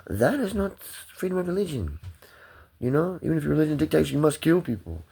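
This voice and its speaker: A man's voice: on the high side, sing-song, brisk, gravelly, dark tone, slightly rough, poor audio. A man talking to the camera in a rambling, swearing monologue that jumps between topics.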